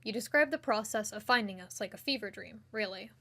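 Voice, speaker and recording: A woman's voice: mid-range voice, brisk, slight background noise. A woman playing a streetwise friend who narrates an audio drama in the second person, in an intimate, dramatic manner. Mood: gentle, concerned. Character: concerned streetwise friend narrating a tense urban memory. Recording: loud music behind.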